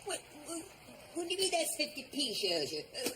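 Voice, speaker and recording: An actress playing an outraged burglary victim who rants with indignant disbelief. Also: female voice, high voice, big pitch swings, fairly quick. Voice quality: indignant, incredulous, ranting. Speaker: burgled neighbour. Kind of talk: sitcom acting. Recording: noisy broadcast sound.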